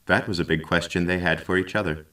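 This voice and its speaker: A calm artificial intelligence of a science fiction radio play, acted by a man. The voice is low and measured, helpful and knowing, and sounds set back from the microphone. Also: distant mic. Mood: reflective. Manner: measured, matter-of-fact. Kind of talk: scripted audio drama acting.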